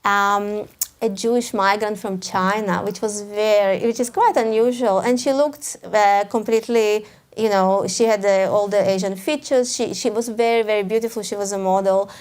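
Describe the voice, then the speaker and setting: A woman's voice: medium pitch, steady tone, normal speed, darkish tone, clean tone. A woman with a Russian accent, an author speaking at a live talk, hesitant, restarting often with small laughs. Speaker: author guest. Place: event hall before a live audience.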